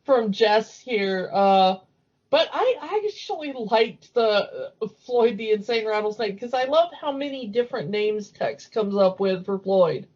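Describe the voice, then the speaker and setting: A woman's voice: mid-range voice, lively intonation, measured pace, a little mellow. A woman hosting a podcast, chatting casually and enthusiastically about cartoons. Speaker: podcast host. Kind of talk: casual chat, cartoon commentary. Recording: dull lo-fi audio.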